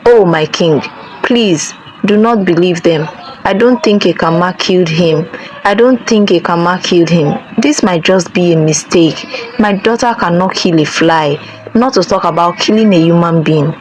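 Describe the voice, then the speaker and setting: Woman reading a devoted village mother, low voice, soothing and pleading. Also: normal speed, darkish tone, slight background noise, lightly acted, rough recording. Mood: begging. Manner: soothing, coaxing, repetitive reassurance.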